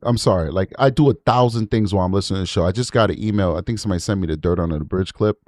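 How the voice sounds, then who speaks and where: A man's voice: deep voice, very fast, a little mellow, no room sound, studio quality. A man hosting a true crime livestream, casually commenting on audio clips and repeating words for emphasis.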